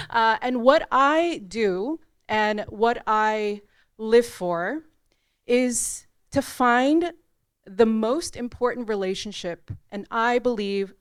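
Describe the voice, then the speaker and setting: A woman's voice: on the high side, smooth, no echo, studio quality. A woman from South Korea who grew up in California and has an American accent, a coach for mothers giving an earnest, direct short talk. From born in South Korea, raised in California.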